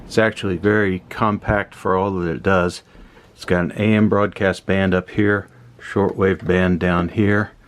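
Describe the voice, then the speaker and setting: A man giving a casual hands-on explanation as a hobbyist host, pausing mid-phrase as he thinks aloud. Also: low-pitched, flat delivery, a little hiss or hum. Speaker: host of a vintage electronics repair channel.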